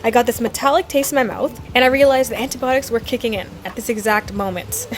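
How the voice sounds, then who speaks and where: A young woman chatting through a fitness vlog voice-over, telling a story with quick self-deprecating laughs. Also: a little high, slight background noise. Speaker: fitness vlogger. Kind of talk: voice-over narration.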